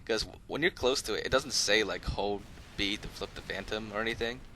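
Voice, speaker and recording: A man's voice: lowish voice, fairly quick, pushed and bright, slight background noise. A man giving casual, unscripted gameplay commentary as a video host, talking in an easygoing, rambling, conversational way. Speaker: host of a gaming video channel.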